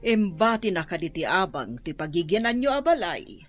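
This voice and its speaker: A woman's voice: lowish voice, very animated, moderate pace, soft background music. A woman acting a nagging, reproachful landlady in an Ilocano radio drama. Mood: scolding. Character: nagging, reproachful landlady demanding overdue rent.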